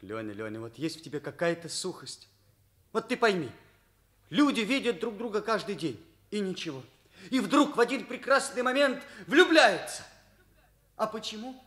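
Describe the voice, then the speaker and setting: An actor playing an earnest, dreamy teenage schoolboy in a Soviet radio play, gushing and excitable. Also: male voice, high voice, moderate pace. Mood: rapturous. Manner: gushing, eager.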